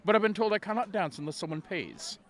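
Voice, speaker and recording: Man voicing a high, innocent dancing girl. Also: quick pace, fairly bright tone. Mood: sweet, hopeful.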